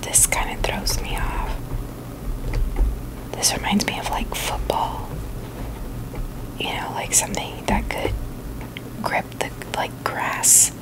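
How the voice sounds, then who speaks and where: A woman's voice: takes it slowly, pauses often, rough recording. Female ASMR creator, fully whispered delivery, informal, opinionated, loosely rambling product-review style.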